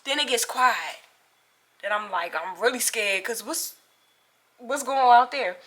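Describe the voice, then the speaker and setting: A young adult woman telling a casual, animated story to viewers in a dramatic, slangy way, acting out dialogue as she goes. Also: on the high side, measured pace, a little hiss or hum. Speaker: storytime vlogger.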